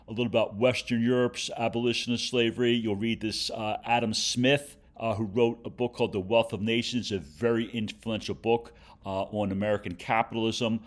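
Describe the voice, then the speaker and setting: A man speaking as a teacher, giving an informal on-screen walkthrough of instructions in a careful, step-by-step way with halting phrases and stressed key words. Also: mid-range voice, fairly bright tone. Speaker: teacher giving homework directions.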